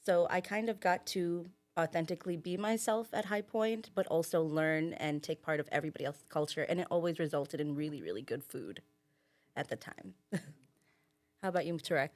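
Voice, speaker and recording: A young adult woman, a former student and podcast guest, telling stories in an upbeat, warm way. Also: low voice, brisk, faint music behind. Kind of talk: casual podcast chat.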